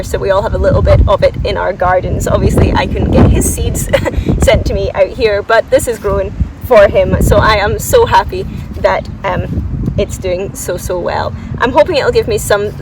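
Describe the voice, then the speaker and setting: A woman with a mid-pitched voice chats off the cuff as she shows viewers around a garden. She is enthusiastic and informal, often thinking aloud and poking fun at herself. The sound is outdoors, a little away from the mic, with some background noise. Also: normal speed, recorded from a distance. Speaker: gardening vlogger giving a garden tour. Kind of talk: casual, unscripted chat to viewers.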